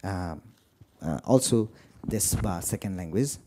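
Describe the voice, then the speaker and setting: Halting Nepali-accented man, very dark voice. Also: deep voice, expressive, slight background noise. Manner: halting, many fillers and restarts. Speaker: moderator. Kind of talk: introducing a speaker at a book talk. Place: lecture room.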